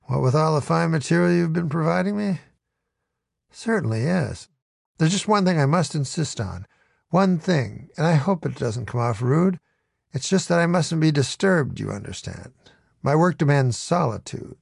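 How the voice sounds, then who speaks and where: A man's voice: on the high side, expressive, normal speed, vocal fry, a little mellow, husky, no room sound, studio quality, exaggerated voice. A man reading aloud as a pompous, genteel elderly male ghostwriter with fussy, formal diction. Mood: smooth, placating.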